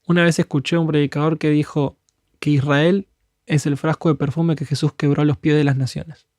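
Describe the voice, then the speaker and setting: A man's voice: a little high, little pitch movement, a little fast, a little mellow, dry recording, studio quality. A man from Argentina with an Argentine Spanish accent, speaking earnestly on a podcast and acting out prayers as he preaches in a conversational way. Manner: earnest and fervent, acts out prayers as quotes. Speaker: guest speaker.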